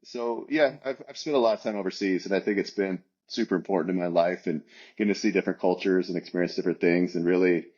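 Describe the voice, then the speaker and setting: A man in his forties with a fairly low voice answers interview questions in a loose, rambling way, full of ums and restarts. The audio is muffled and lo-fi. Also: steady tone, fast talker, a little mellow, slightly rough. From United States. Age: 40s. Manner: rambling, many fillers and restarts. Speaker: guest. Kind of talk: casual interview talk.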